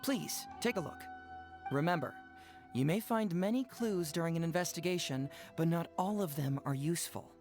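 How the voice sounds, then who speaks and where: A man playing a composed young detective in an anime-style video game, explaining in a measured, polite way. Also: a little high, natural voice. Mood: composed instruction. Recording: game music behind the voice.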